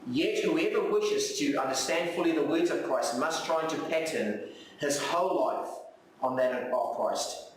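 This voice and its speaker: A South African man, native in Afrikaans, delivers an earnest sermon in a fairly high voice. He repeats phrases and poses questions to his listeners. The sound is heavily reverberant and picked up from some distance, with faint noise. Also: unhurried. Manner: earnest, rhetorical repetition and questions. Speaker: preacher. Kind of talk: sermon with reflective readings. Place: church hall, live service. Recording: very echoey room, distant mic, faint noise.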